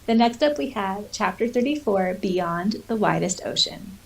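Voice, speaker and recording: A woman's voice: mid-range voice, expressive, normal speed, creaky, far from the mic, rough recording. A woman co-hosting a podcast in an informal, giggly way, moving between casual chat and reading story passages. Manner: giggly, informal, uses "like" often. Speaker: podcast co-host.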